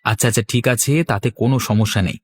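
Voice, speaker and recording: A man's voice: lowish voice, fairly even intonation, racing, husky, no room sound. A man playing a naive, polite city visitor in a cartoon, chatty and trusting. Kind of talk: cartoon voice acting.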